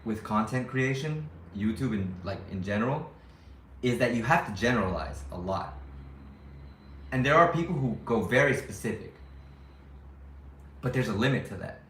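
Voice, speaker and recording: A man's voice: mid-range voice, animated, normal speed, pauses often, fairly bright tone, roomy sound, slight background noise. A young man vlogging to his viewers in a casual, rambling way, often restarting his sentences and second-guessing himself. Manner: rambling, self-questioning, frequent restarts. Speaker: YouTuber living abroad. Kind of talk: casual vlog talk to viewers.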